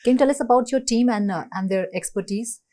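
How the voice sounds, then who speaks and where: A woman with an Indian English accent hosting a TV interview, reading brisk scripted introductions and asking questions. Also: a little high, warm tone. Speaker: TV show host, interviewer.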